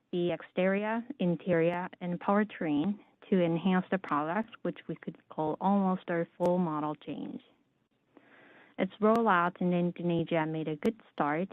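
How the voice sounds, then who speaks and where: A woman giving a formal scripted business presentation as a conference call interpreter, reading in a measured, orderly way. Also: on the low side, no echo. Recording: narrow, dry phone-like line.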